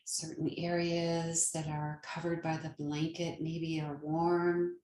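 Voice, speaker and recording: A woman leading a guided meditation as a yoga nidra teacher, speaking gently and soothingly with long pauses. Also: deep voice, little pitch movement, roomy sound, a little hiss or hum.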